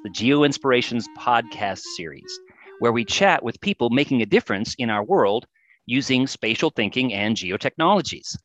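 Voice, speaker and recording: A man's voice: medium pitch, fairly bright tone. A man hosting a podcast who interviews his guest in a friendly, polite way. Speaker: podcast host. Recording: dry, dull lo-fi sound.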